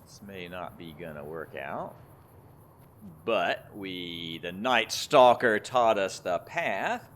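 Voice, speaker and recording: A man's voice: deep voice, expressive, slow pace, fairly bright tone. A man giving casual, rambling gameplay commentary, thinking aloud in a conversational way as he plays. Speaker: gamer, let's play host.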